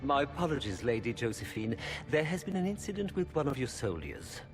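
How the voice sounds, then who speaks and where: An actor playing a courteous court diplomat, formal and polite, in a video game. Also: male voice, mid-range voice, sing-song, a little fast, lightly acted. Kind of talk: video game dialogue acting. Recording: long hall reverb, music behind.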